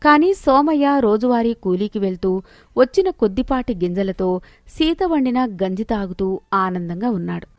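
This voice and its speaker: A woman's voice: mid-range voice, expressive, normal speed, no echo, studio quality. A woman narrating a scripted moral story as a storyteller.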